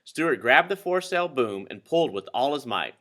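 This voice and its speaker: A man reading a children's story aloud with expressive, dramatic narration. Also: mid-range voice, a little fast. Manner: dramatic, animated. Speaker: storybook reader.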